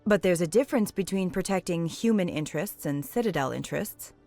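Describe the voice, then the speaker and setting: A woman's voice: fairly low pitch, expressive, fairly quick, warm tone, soft background music. A woman playing a probing television reporter in a science fiction video game, polished and insinuating. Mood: probing, pointed. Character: probing, pointed female television news reporter. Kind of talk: cinematic game voice acting.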